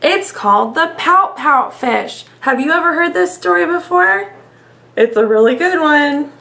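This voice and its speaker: A woman's voice: sits high, lively intonation, a little slow, gravelly, bright, forceful tone. A woman in her thirties, a teacher expressively reading a story aloud to young children in a room at home. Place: small room at home, indoors.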